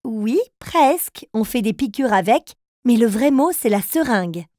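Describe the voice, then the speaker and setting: A woman's voice: fairly high pitch, lively intonation, a little slow, darkish tone, no room sound, clean studio sound. An actress playing a patient, encouraging female teacher who talks to young children in a preschool cartoon. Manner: patient, encouraging, clearly addressing small children.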